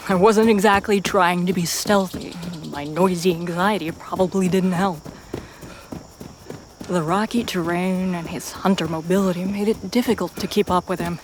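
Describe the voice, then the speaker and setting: A woman reading a first-person story as a dramatic narrator, with intense pauses and hushed, breathy phrases. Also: fairly low pitch, fairly even intonation, slight background noise. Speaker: narrator of a fan fiction audio story.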